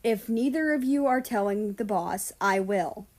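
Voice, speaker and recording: A woman's voice: medium pitch, measured pace, darkish tone, natural voice. A woman voicing a stern, fed-up guardian spirit who scolds firmly in a storybook reading. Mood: stern, commanding. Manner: firm, commanding.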